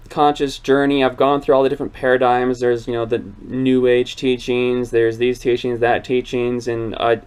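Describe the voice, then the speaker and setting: A man's voice: mid-range voice, a little mellow, clear, resonant tone. A man in his twenties hosting a casual interview chat, rambling and earnest with many fillers and restarts. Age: 20s. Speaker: channel host.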